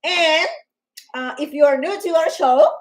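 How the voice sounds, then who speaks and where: A Colombian woman with an accent, co-hosting a live worship stream in an energetic, excitable way. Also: high-pitched, measured pace. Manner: energetic, excitable, with squeals and laughs. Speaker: co-host of an online worship show. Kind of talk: casual live-stream chat. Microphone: live stream on phones and devices.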